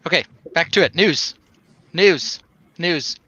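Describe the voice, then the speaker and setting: A man's voice: on the high side, takes it slowly, bright, forceful tone, breathy edge, lo-fi sound. A man co-hosting a casual livestream, giving short upbeat comments while describing a toy set on camera. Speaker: podcast co-host.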